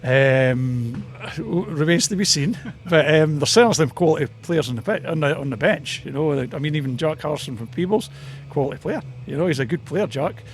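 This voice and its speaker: A man's voice: on the high side, lively intonation, normal speed, hardly any pauses. A man with a Scottish Borders accent giving a casual, hesitant, rambling interview about his club.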